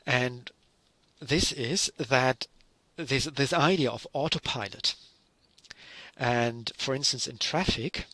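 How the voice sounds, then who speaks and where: A man's voice: medium pitch, bright, forceful tone, husky, dry recording. A middle-aged man from Germany with a German accent, giving a recorded university lecture and explaining with many fillers and self-corrections.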